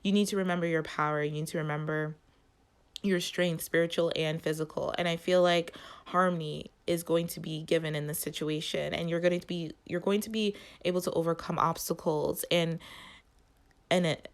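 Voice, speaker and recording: A woman reads tarot cards for her audience, her voice nasal and stuffy from allergies. She sits a little low in pitch for a woman and keeps pausing and restarting, filling the gaps with "like" as she feels her way toward the next phrase. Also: fairly even intonation, clean tone. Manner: halting, searching for words, many "like" fillers. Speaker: tarot reader and channel host. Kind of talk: spoken tarot reading to viewers.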